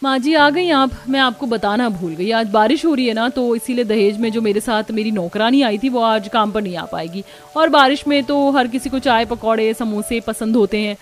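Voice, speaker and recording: Woman playing spoiled, high-voiced young bride. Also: moderate pace, natural voice. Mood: entitled. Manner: entitled, bossy. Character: spoiled, entitled rich young new bride. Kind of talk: scripted cartoon voice acting.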